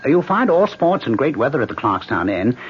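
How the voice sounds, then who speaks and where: A man's voice: medium pitch, big pitch swings, a little fast, close to an everyday voice. An actor playing a chatty male inn desk clerk with a cheerful, salesy manner. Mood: chipper. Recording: dull old radio broadcast with faint hiss.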